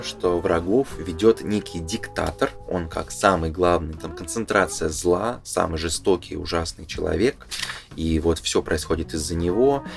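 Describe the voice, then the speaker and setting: A man's voice: sits low, creaky. A man giving casual, unscripted explanatory commentary, thinking aloud hesitantly as he reads through a booklet. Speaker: presenter reading through a game booklet.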